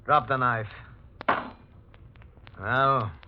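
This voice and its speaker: A man's voice: mid-range voice, fairly even intonation, very slow. Commanding armed man, noisy radio. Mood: menacing. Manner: commanding, clipped. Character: stern armed pursuer, hard and commanding. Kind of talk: 1940s radio mystery acting. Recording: dull, noisy 1940s radio recording.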